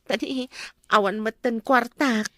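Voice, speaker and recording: A woman's voice: on the high side, measured pace, close to an everyday voice. A woman playing a meek, mistreated young woman in a radio drama, plaintive and obedient, with an Ilocano Filipino accent. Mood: gentle, weary.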